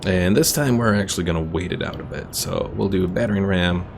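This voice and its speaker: Casting: male game streamer, low gravelly voice, laid-back casual play commentary, thinking aloud. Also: fast, music in the background. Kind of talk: casual gameplay commentary, reading viewer comments.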